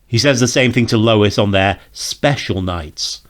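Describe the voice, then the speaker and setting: A man's voice: on the low side, unhurried, a bit bright. A man making dry, mocking quips as a comic riffer. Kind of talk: quips over an old audio drama.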